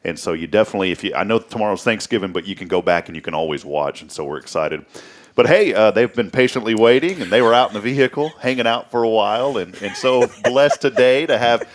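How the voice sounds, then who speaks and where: A man with a fairly low voice hosts a morning talk show from a studio. He talks casually and rambles, doubling back on his words as he goes. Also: expressive, quick pace. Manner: rambling, repeats words often. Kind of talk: casual chat.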